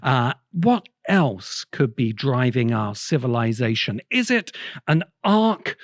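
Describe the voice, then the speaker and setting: A man delivering a reflective essay-style lecture as a host, speaking in an erudite, deliberate way with pauses between phrases. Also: medium pitch, big pitch swings, dry recording, clean studio sound. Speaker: host of a science fiction essay show.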